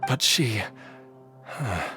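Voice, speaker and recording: A man playing an ailing, haunted, desperate character in an anime-style video game, halting and strained as he speaks. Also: on the low side, expressive, bright, forceful tone, exaggerated voice. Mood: confused, anguished. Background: music.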